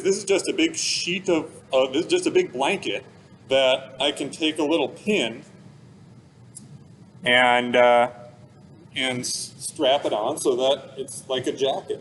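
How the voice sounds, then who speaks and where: A man with a medium-pitched voice presents like a museum expert talking to children. His wording is casual and plain, with everyday comparisons. There is some noise behind him. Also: poor audio. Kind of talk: informal explanatory talk for children.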